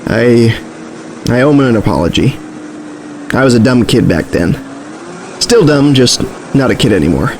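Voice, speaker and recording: An actor playing an earnest, jittery young failed musician, stammering and self-deprecating. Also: male voice, mid-range voice, lively intonation, moderate pace, long pauses, natural voice. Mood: rueful, candid. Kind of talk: naturalistic audio drama dialogue. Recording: noisy vehicle interior with engine.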